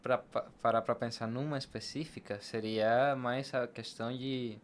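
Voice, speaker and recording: Clear background noise sits around a man of middling pitch. He is a native Spanish speaker with a Venezuelan accent, talking conversationally as a guest in an interview. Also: steady tone, moderate pace. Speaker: podcast guest, barber. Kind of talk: conversational interview answers, personal anecdotes.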